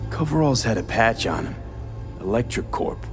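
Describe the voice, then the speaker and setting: An actor playing a gruff, sardonic cyberpunk mercenary investigator in a video game. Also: male voice, lowish voice, very animated, normal speed, soft background music. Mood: wry, analytical. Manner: terse, sardonic.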